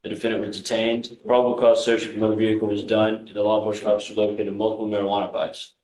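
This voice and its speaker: Roomy echo, male prosecutor, American accent, monotone delivery, lowish pitch, formal report reading. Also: racing. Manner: monotone, procedural. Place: courtroom. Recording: echoey courtroom sound.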